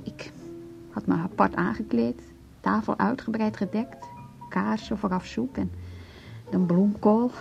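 A woman's voice: mid-range voice, vocal fry, warm tone. An actress in a radio play voicing a weary, hurt wife in her forties who confides about her marriage. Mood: hurt, reflective. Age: 40s. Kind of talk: Dutch radio play acting. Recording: dull lo-fi radio play recording, music.

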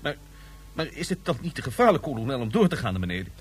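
An actor playing an anxious, deferential subordinate in a science-fiction radio play, agitated and questioning, with a husky voice. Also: male voice, medium pitch, big pitch swings, fast talker. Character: anxious, eager subordinate of a colonel. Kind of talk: Dutch science-fiction radio drama acting.

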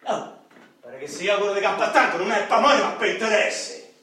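In a very echoey hall, a middle-aged Roman hustler tells his life as a stage monologue for radio. His voice is high and bright, leaping around as he boasts and pities himself, a lonely small-time ex-robber with street swagger. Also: fairly quick. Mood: melancholy. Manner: animated streetwise storytelling, swinging from bragging to self-pity. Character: boastful, lonely ex-robber nearing fifty, coke-loving braggart. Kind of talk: theatrical radio drama monologue. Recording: echoey stage hall, distant mic, faint noise.

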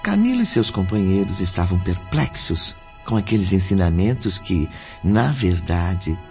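A man delivers scripted dramatic narration at a very slow pace. His voice is fairly low, with music clearly behind it and a dull, lo-fi sound. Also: big pitch swings, darkish tone.

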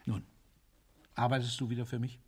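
An actor playing a world-weary, cynical military ruler in a radio drama, speaking in a bitter, deliberate, rhetorical way. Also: male voice, medium pitch, completely flat delivery, breathy edge, close to an everyday voice. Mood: brooding, resigned. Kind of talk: theatrical radio drama monologue.

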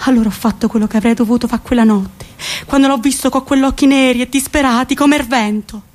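A woman acts out a tender old grandmother from a village, with a central Italian accent. Her voice sits high as she tells theatrical memories in rustic, plainspoken, reflective phrases. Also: quick pace, a little mellow, close to an everyday voice. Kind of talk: theatrical monologue storytelling.

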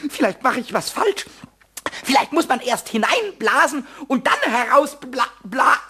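Radio play kobold, childlike and whiny, very high raspy squeak, stammers and exclaims. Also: male voice, animated, a little slow, sharp tone, exaggerated voice. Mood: curious, musing. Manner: stammering, exclaiming, repeating words. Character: small childlike male kobold, naive, whiny, melodramatic. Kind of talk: German radio play acting.

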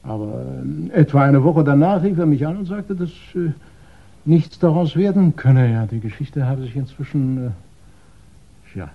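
An actor playing a meek henpecked husband in a 1960s radio crime drama, flustered and agreeable, hesitating and repeating himself. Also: male voice, a little high, lively intonation, moderate pace, vocal fry. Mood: halting, evasive. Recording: dull vintage 1960s radio recording.

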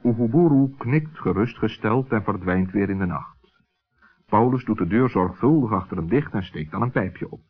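A man's voice: fairly low pitch, expressive, normal speed, husky. A man narrating a scripted children's story as the narrator of an old radio play. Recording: muffled old radio recording, faint music.

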